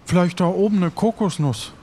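A man's voice: high-pitched, fairly quick, mellow, breathy edge, voice pushed up. A man playing a hesitant, stammering pirate who sounds unsure, in an improvised audio play. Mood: hesitant, puzzled. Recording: noticeable background noise.

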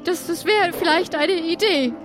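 A woman's voice: very high-pitched, a little slow, soft background music. A woman playing Greta, a grieving, hesitant woman searching for someone lost, in an improvised audio adventure. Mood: troubled, hesitant.

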